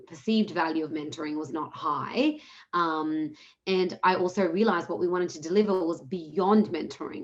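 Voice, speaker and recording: Earnest woman on a dull video call. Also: lowish voice. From Australia. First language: Punjabi. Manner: earnest, fast-flowing with frequent self-corrections. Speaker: founder presenting her program. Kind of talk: online talk presentation.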